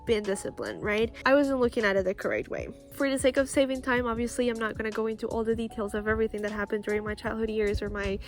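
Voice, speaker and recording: A woman's voice: fairly high pitch, fast talker, few pauses. A woman in her early twenties from Costa Rica, a native Spanish speaker, talks casually and earnestly to camera as she shares a personal story outdoors. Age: early 20s. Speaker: vlogger. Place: outdoors, school playground near a road. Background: music, possible passing cars and birds.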